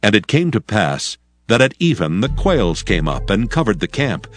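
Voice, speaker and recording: A man with a fairly deep voice narrates scripture. His delivery is solemn and measured yet widely modulated, with music underneath. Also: big pitch swings.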